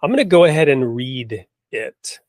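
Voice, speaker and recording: A man hosting a discussion, speaking in a deliberate, reflective way with emphatic phrasing as he talks and recites. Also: mid-range voice, animated, darkish tone, no room sound. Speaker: host. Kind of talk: conversational hosting with poetry reading.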